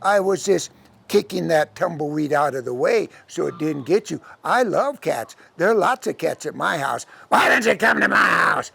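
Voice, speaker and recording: Man doing a coaxing, creaky-voiced devil. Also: a little high, animated, sharp tone, exaggerated voice. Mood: coaxing, sly. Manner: drawn-out, coaxing delivery. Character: smooth-talking male devil posing as a friend. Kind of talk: live oral storytelling.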